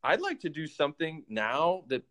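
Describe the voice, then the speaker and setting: A man's voice: fairly high pitch, huge pitch swings, moderate pace, fairly bright tone. A man in his thirties, a former pro ballplayer, in casual conversation, animating his stories by acting out his own thoughts. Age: 30s. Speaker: former pro baseball player.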